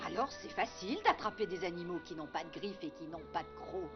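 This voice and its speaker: Expressive, gentle cartoon mother wolf. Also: on the low side, a little fast, lo-fi sound, over music. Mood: patient, coaxing. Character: gentle, encouraging mother wolf of a human boy. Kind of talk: French animated series dub.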